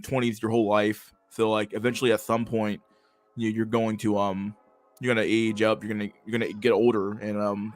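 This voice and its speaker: Stammering man chatting on a livestream. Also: on the low side. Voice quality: stammer and lisp. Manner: halting, restarts words often; swears freely. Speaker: livestream host reading chat. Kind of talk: casual livestream chat.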